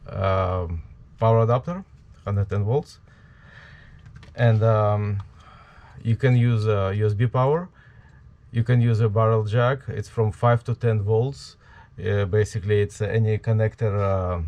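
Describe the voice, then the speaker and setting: A man's voice: fairly low pitch, a little mellow. Ukrainian-accented man explaining a build. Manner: halting, many fillers, talks while working. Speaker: prop maker. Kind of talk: hands-on product tutorial.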